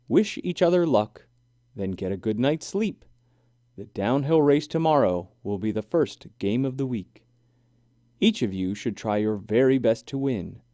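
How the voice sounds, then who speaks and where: A man reading a children's story aloud in an expressive, deliberate, sing-song way. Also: mid-range voice, dry recording, studio quality. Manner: animated, deliberate, sing-song rhyming delivery. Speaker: children's book reader.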